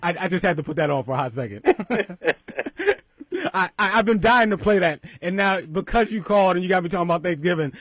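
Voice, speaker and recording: Middle-aged male host, slightly higher voice, cheerful and stumbling, dry studio mic. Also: expressive, quick pace, a bit bright, dry recording. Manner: upbeat, laughs a lot, stumbles and repeats words. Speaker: radio show host. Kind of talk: casual radio talk show hosting. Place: radio studio.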